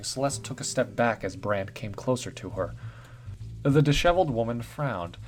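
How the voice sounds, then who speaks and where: A man narrating a written story in a steady, even storytelling read. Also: mid-range voice. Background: faint guitar music.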